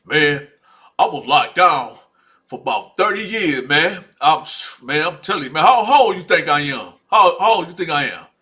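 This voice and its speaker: A man's voice: fairly high pitch, expressive, normal speed, pushed and bright. A man voicing a boastful 63-year-old prison inmate who has been locked up for decades, drawling and tacking "man" onto every phrase.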